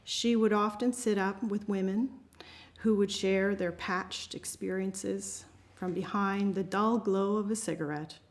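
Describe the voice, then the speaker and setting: A woman's voice: medium pitch, steady tone, measured pace. A woman, an amateur writer reading and introducing her own work aloud to a group.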